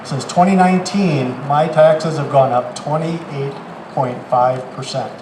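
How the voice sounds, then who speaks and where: A man's voice: a little high, a little slow. A man methodically explaining his answer as a candidate at an outdoor forum. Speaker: city council candidate. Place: outdoors in a town park. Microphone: public address microphone. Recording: echoey outdoor PA with faint noise.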